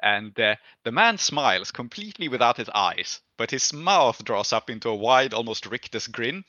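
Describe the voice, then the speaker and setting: Casting: male game master narrating tabletop play, strikingly crisp bright tone, very little room sound. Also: medium pitch, very animated, moderate pace, dry recording, muffled recording.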